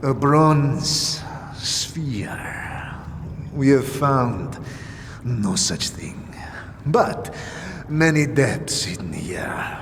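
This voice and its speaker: A man voicing a sneering, menacing ghoul chieftain with a gravelly voice in a fantasy audio drama. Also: medium pitch, expressive, extremely slow, pauses often. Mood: sly, menacing. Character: sneering authoritative male ghoul leader, menacing and mocking. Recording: reverberant hall with clear noise.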